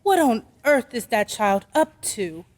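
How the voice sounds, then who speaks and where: Woman as a high-voiced, lively radio-play mother. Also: a little slow. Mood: puzzled concern. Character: sensible, puzzled mother of a young girl. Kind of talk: old-time radio drama acting.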